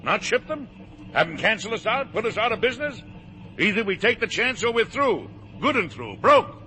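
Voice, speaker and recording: A man's voice: sits high, big pitch swings, normal speed, exaggerated voice. A man playing a hard-driving, self-made older factory owner in a 1950 radio drama, bitter and emphatic. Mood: forceful, urging. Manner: forceful, bitter, emphatic repetition. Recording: noisy vintage radio broadcast.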